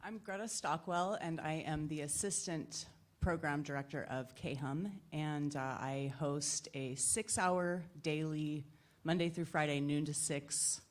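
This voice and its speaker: Low-pitched woman radio host on a panel. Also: fairly even intonation, unhurried. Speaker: radio host and assistant program director. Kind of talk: spontaneous self-introduction on a live panel. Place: live event at a playhouse.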